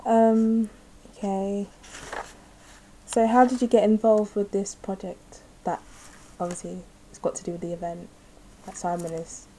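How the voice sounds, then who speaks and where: A woman's voice: mid-range voice, level tone, unhurried, dark tone, a little hiss or hum, low-quality recording. A woman interviewing a guest, asking questions tentatively with small laughs. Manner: tentative, giggly.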